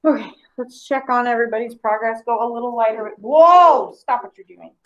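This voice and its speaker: A young adult woman teaching an art class over a video call, brisk and teasing with her students. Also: fairly high pitch, dull audio. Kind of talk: online class instruction. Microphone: laptop mic on a video call.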